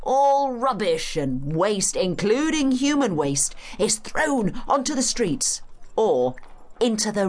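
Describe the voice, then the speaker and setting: A woman's voice: on the high side, full character voice. A woman playing a knowledgeable, encouraging tour guide in a scripted educational audio drama, with a British accent and a teacherly manner. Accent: British English. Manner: expressive, clear explanatory delivery, teacherly. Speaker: guide and narrator.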